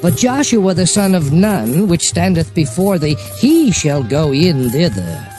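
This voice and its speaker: A man's voice: fairly high pitch, sing-song, unhurried, warm tone, faint music behind. A man playing a solemn prophet who recounts God's commands in a dramatized scripture reading, with emphatic oratory. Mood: stern. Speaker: Bible narrator.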